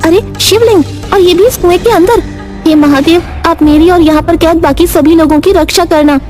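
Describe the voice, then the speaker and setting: A woman plays a brave, kind-hearted village boy in an animated storybook tale. The voice is extremely high, earnest and full of exclamation, with soft music behind it. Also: moderate pace. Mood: amazed, pleading. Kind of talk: Hindi animated storybook acting.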